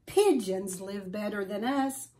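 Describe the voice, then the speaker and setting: A woman voicing an excitable, complaining talking pet from a storybook, insistent and exclamatory. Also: medium pitch, slow, close to an everyday voice.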